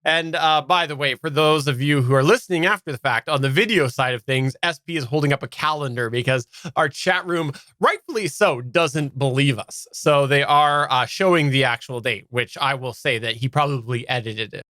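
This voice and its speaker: A man with a bright, forward voice co-hosts a casual live podcast. He talks in a rambling, self-correcting way, close on the microphone with a very dry sound. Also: mid-range voice, moderate pace, hardly any pauses, no echo. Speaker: podcast co-host. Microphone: close microphone on a boom arm.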